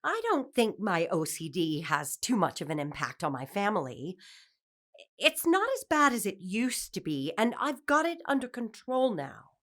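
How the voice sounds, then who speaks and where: A woman's voice: mid-range voice, very animated, moderate pace. A woman acting an anxious, controlling middle-aged wife and mother in an audio drama, with an earnest, exacting manner. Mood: reflective, defensive. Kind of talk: audio drama acting, confessional monologue and dialogue.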